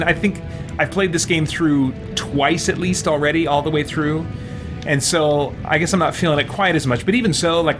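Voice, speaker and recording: Male game streamer thinking aloud over music. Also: on the high side. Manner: rambling, chatty asides. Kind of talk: casual let's-play commentary, thinking aloud. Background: video game music.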